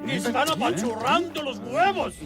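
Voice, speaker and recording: A man voicing a bossy, exasperated egg leader in an animated comedy, commanding and theatrical. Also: very high-pitched, moderate pace. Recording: film clip with loud music.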